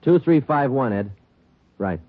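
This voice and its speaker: An actor playing a terse, deadpan police detective in a 1940s radio drama, clipped and businesslike. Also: male voice, medium pitch, measured pace, slight background noise, close to an everyday voice. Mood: firm. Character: terse, businesslike male police detective who also narrates. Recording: tinny old radio recording.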